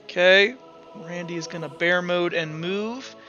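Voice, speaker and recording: A man with a high, forceful voice runs a tabletop roleplaying game. He explains rules and scenes casually, often stopping to rephrase. The sound is dull and lo-fi, with faint music underneath. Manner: casual, halting explanations with self-corrections. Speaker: game master.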